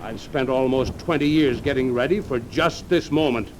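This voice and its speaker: An actor playing a coolly determined, vengeful blackmailer in an old radio drama, measured and accusing. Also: male voice, medium pitch, close to an everyday voice, low-quality recording. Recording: old radio recording with faint rain noise.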